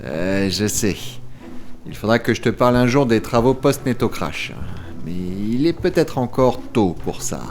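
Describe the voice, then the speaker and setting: A man's voice: mid-range voice, expressive, a little slow, a little mellow, slight background noise, close to an everyday voice. A man playing a reassuring, drily teasing veteran employee showing a newcomer around, in a French audio comedy. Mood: explanatory. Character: wry, reassuring male office guide, patient veteran employee.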